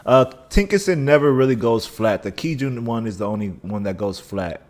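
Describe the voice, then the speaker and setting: A man's voice: medium pitch, expressive, normal speed. A man hosting a casual live stream, talking through chart analysis with a scratchy voice and a dry mouth, thinking aloud with many restarts. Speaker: livestream trading host.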